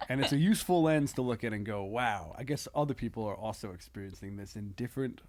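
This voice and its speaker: A man talking casually as a comedian guest on a podcast, halting and self-deprecating. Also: lowish voice, animated, heavy background noise.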